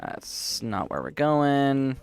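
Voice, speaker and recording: A man's voice: mid-range voice, sing-song, slow pace, gravelly, smooth, soft background music. A man with a congested voice, giving rambling casual commentary while he plays a game and laughs often. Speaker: streamer.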